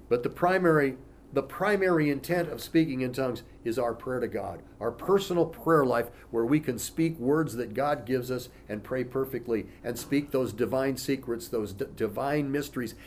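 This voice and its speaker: A man of middle pitch teaching a congregation in an easygoing, conversational manner. He reads scripture aloud, then drifts into loose asides full of "you know" fillers. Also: lively intonation, normal speed, slight background noise. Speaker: pastor.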